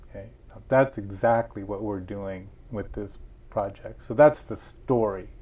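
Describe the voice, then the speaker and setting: A man's voice: fairly low pitch, unhurried, warm tone, highs cut off. A man being interviewed about his book, telling stories earnestly with frequent restarts. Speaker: author and guest. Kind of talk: interview conversation.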